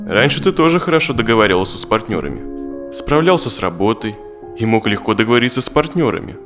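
A man's voice: lowish voice, very animated, fast talker. Casting: male actor as a talking door or floor, confronting and matter-of-fact, audio-play delivery over music. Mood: resigned, confronting. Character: talking door and floor, blunt bearers of hard truths. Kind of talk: audio drama role-play.

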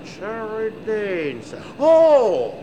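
A man's voice: high voice, huge pitch swings, very slow, dark tone, big-room sound, exaggerated voice, rough recording. An actor playing a polite policeman in a 1960s radio play, clipped and repetitive. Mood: obliging, breezy. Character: polite English policeman giving breezy directions.

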